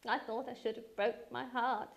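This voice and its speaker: Woman playing a fretful, high-voiced Regency mother. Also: flat delivery, moderate pace, creaky. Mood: tearful nostalgia. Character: fretful, sentimental middle-aged Regency mother.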